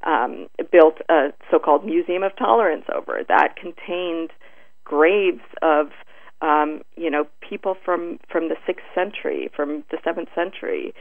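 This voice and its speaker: A middle-aged woman giving earnest interview answers in a halting, deliberate way, searching for her words, over a telephone. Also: low voice. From born in Beirut, Lebanon. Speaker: interview guest, writer. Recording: narrow, tinny phone line.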